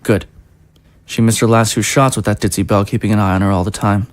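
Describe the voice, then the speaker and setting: A woman's voice: a bass voice, steady tone, fast talker, dry recording. A woman playing a courteous government aide with a scheming, coolly coaxing manner. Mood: conspiratorial.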